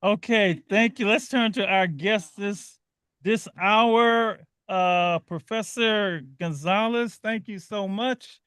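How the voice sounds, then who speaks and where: A man hosting a live radio talk show, halting and full of restarts. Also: high-pitched, a bit bright, clear, resonant tone, no echo, studio quality. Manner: halting, many restarts and fillers.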